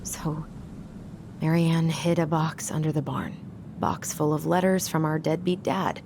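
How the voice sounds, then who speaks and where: Young American female, video game drama. Dry, sceptical, conversational, lower-pitched, with clear ambient noise. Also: little pitch movement, measured pace. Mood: suspicious. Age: young adult. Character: young woman, wry, sceptical twin sister.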